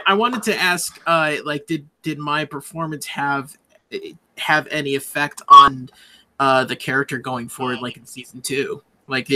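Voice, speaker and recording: A man speaking casually and haltingly as an actor on a discussion panel, often repeating himself. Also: medium pitch, pushed and bright, a little hiss or hum. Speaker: audio drama actor on a panel.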